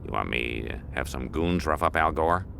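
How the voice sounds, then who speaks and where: Very deep, fawning cartoon sidekick. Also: male voice, expressive, moderate pace, vocal fry, fairly bright tone, a little hiss or hum, heavily acted. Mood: servile. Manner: obsequious, hesitant. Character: fawning, anxious male assistant to a rich villain. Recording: music behind the voice.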